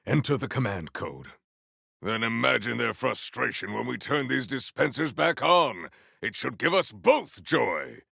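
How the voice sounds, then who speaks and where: An actor playing a formal, valiant, earnest warrior hero in a video game, with stately, theatrical diction. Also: male voice, mid-range voice, expressive, a little slow, creaky, pushed and bright, breathy edge, no echo, clean studio sound, heavily acted. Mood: composed, grave. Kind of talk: scripted video game character lines.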